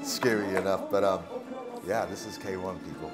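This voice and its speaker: A man giving jovial, animated live kickboxing commentary as the show's host. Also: low voice, normal speed. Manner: animated, jovial, chuckling often. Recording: loud music under commentary. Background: loud music.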